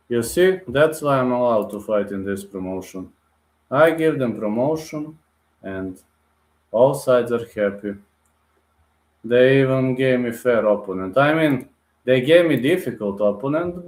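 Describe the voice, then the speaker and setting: A man talks straight to his livestream viewers, calling them guys and answering their comments bluntly in English. His voice sits at an ordinary middle pitch, and a roomy echo hangs around every line. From Bulgaria. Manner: direct, blunt, addresses viewers as guys, non-native phrasing. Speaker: livestream host.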